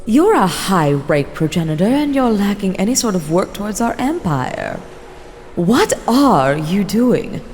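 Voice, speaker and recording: A woman's voice: mid-range voice, very animated, measured pace, a little mellow, faint music behind. A woman voicing an imperious vampire elder who addresses her clan in a formal, haughty, scolding manner in a fantasy role-play drama. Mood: stern disappointment. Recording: long hall reverb, faint noise.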